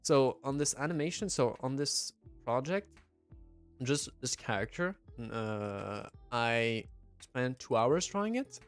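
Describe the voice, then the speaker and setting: A man's voice: mid-range voice, lively intonation, slow, soft background music. A young man with a French accent chatting casually on a livestream, hesitant and full of fillers and restarts. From southwest France. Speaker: streamer. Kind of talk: casual livestream chat, reading chat aloud.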